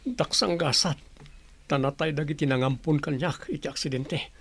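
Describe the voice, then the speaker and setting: A man's voice: a little high, moderate pace, creaky, fairly bright tone, slightly rough, heavily acted. A man playing a hurt, brooding adopted grandson in a radio drama. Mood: brooding, sad. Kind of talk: Ilocano radio drama acting.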